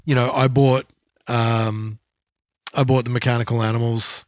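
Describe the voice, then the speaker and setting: A man speaks at a middling pitch in a dry recording with little room sound. He is co-hosting a podcast, talking in a casual, conversational way and drawing on his own anecdotes. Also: level tone, moderate pace, dry recording. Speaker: podcast co-host. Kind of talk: casual chat, personal anecdotes.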